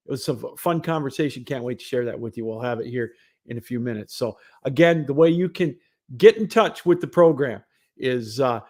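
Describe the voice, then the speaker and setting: Male sports host, mid-pitched, for a loose, conversational solo broadcast monologue.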